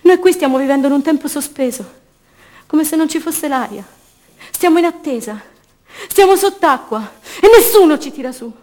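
An actress playing a young, idealistic student activist held prisoner, delivering an intense, passionate theatrical monologue for radio drama. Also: female voice, high voice, expressive, moderate pace. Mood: pained, pleading. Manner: intense, swinging from hushed repetition to fervent declaiming.

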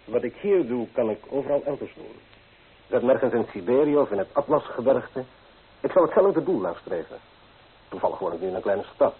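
An actor playing an earnest, stubborn and ambitious small-town architect in a Dutch radio drama. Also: male voice, medium pitch, lively intonation, a little fast, slightly rough. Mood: defiant. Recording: tinny, hissy old radio play recording.

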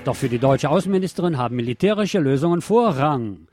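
A man's voice: a little high, expressive, fast talker, over loud music. A man hosting a radio show, reading news articles aloud in a sardonic way with mocking asides.

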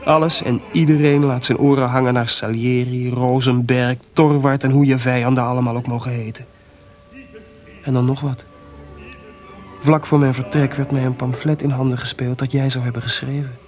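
An actor playing a proud, jealous composer with a sarcastic, theatrical delivery in a radio play. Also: male voice, mid-range voice, level tone, normal speed, darkish tone, faint music behind, natural voice. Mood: wry, reflective. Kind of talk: radio drama acting.

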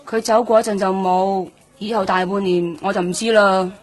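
An actress playing a jaded, streetwise nightlife hostess in a Cantonese radio drama, talking in a blunt, offhand, slangy way. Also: female voice, mid-range voice, steady tone, normal speed, faint music behind, lightly acted. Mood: guarded, offhand. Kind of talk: radio drama acting, colloquial dialogue.

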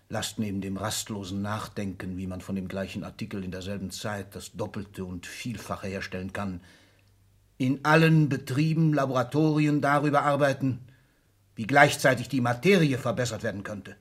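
An actor playing an ailing old industrialist patriarch in a German radio drama, husky, declamatory and commanding. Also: male voice, lowish voice, fairly even intonation, normal speed. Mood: rising indignation.

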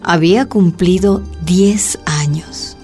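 A woman narrating a scripted radio drama as a storyteller, with dramatic pauses. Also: lowish voice, dark tone, faint music behind.

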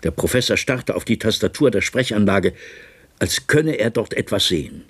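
Husky, sober male witness narrating. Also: lowish voice, animated. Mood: tense, restrained. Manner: measured, restrained, suspenseful. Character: sober, watchful male colleague recounting tense events. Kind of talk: radio play narration in German.

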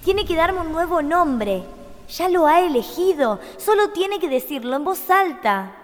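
High-voiced solemn young empress. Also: female voice, big pitch swings, unhurried, a little hiss or hum, exaggerated voice. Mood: pleading, urgent. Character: gentle, solemn young empress of a fantasy realm. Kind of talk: radio drama acting. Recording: long hall reverb on studio recording.

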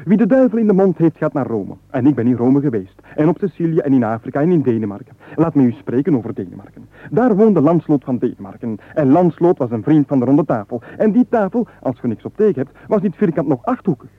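An actor with a Flemish accent playing a penniless, endlessly babbling rogue who rambles pedantically in a radio comedy. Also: male voice, fairly high pitch, very animated, quick pace, few pauses, no room sound. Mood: rambling, cheerful. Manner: rambling, pedantic wordplay and digressions. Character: penniless, nonstop-babbling male rogue angling for money. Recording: muffled, phone-like old radio recording.

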